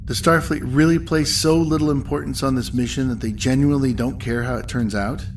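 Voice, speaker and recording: An actor playing a stern, weary starship captain in a science fiction audio drama, speaking in a measured, articulate way. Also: male voice, medium pitch, fairly even intonation, natural voice. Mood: reflective, weary. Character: stern, weary male starship captain, dryly self-doubting. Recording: faint background ambience noise.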